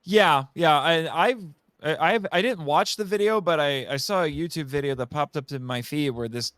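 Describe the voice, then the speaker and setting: A man's voice: on the high side, moderate pace, fairly bright tone, no echo. A man in a casual film discussion, speaking enthusiastically and repeating phrases for emphasis like an expert guest. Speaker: podcast guest and monster movie expert.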